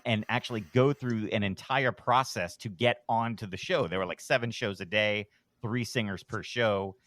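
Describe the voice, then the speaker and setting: Podcast guest, deep-voiced man, middle-aged, relaxed and self-deprecating, dry close mic. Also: no echo. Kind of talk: casual storytelling.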